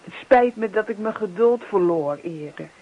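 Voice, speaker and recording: A woman acting a devoted, affectionate wife in a radio play, earnest and coaxing, with a husky voice. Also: mid-range voice, lively intonation, moderate pace. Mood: apologetic. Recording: muffled old radio recording.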